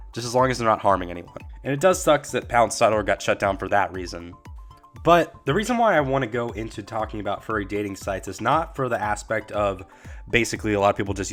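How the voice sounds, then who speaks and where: Slightly hoarse young male vlogger. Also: fairly low pitch, a bit bright. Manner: rambling and candid. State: slightly hoarse, getting over a cold. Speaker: YouTube host. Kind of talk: casual commentary vlog.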